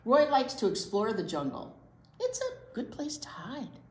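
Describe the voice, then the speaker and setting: A woman reading a picture book aloud to young children in an expressive way, pausing to explain words simply. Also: a little high. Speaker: library storytime presenter, children's teacher. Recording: roomy echo, light background noise.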